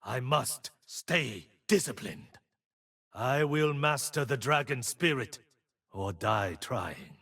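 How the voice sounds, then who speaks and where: Gravelly, solemn warrior monk. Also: male voice, mid-range voice, animated, pushed and bright, exaggerated voice. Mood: solemn resolve. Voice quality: gritty. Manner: solemn, measured, wise. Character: stern, disciplined blind martial monk bearing a dragon spirit. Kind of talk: video game character voice lines.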